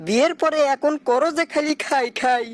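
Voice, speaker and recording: A very high-pitched cartoon husband from a village, acted out by a man, stingy and argumentative. He speaks with a northern Bangladeshi accent. His lines come as sing-song, taunting rhymes. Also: moderate pace. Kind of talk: comic dialect cartoon dialogue.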